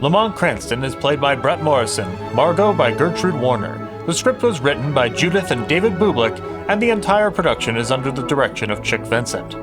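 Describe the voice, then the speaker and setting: An actor playing a formal, inviting 1950s network radio announcer reading scripted credits. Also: male voice, medium pitch, big pitch swings, a little fast, few pauses, music in the background, close to an everyday voice.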